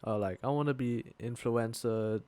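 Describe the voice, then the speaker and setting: A man's voice: medium pitch, normal speed, dark tone, smooth, dry recording. Young man telling a rambling story. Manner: rambling, swears often, many fillers and restarts. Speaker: podcast co-host. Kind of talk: casual chat, storytelling. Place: living in Thailand, attends school there.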